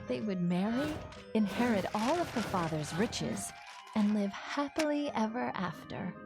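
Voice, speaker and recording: A woman playing a sheltered, wistful sixteen-year-old princess in an animated fairy tale, speaking gently and formally. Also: mid-range voice, unhurried. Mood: storytelling. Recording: music behind the voice.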